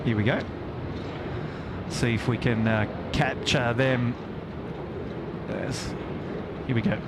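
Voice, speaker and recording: Heavy outdoor airport noise surrounds an Australian man. He has a mid-pitched voice and talks casually, commentating live for a stream. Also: steady tone, slow, creaky. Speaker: live stream host, plane spotter. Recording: loud outdoor airfield ambience behind voice.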